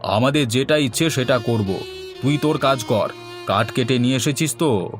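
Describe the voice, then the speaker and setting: A man voices a lazy, resentful elder brother in a cartoon fairy tale. He gives curt orders in a medium-pitched male voice, with music behind him. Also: normal speed, close to an everyday voice. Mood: bossy. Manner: curt, commanding. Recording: music bed under the voice.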